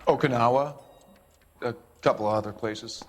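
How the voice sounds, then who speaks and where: An actor playing an evasive, smooth-talking businessman under questioning in a game, guarded and politely hedging. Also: mid-range voice, normal speed, slight background noise, lightly acted. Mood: defensive. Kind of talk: dramatic interrogation dialogue.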